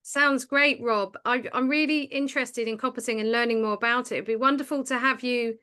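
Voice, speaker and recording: A woman hosts an online community meeting, friendly and a little flustered, often restarting her sentences. Her voice sits somewhat high. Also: steady tone, a little fast, smooth. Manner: warm, hesitant, many restarts. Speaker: meeting host.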